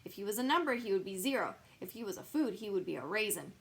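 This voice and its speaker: A woman's voice: mid-range voice, expressive, fast talker, slightly rough. A woman voicing a jealous, bossy little girl mouse in a storybook, talking in a petulant, sulky, dramatic way. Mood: bratty. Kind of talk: storybook reading.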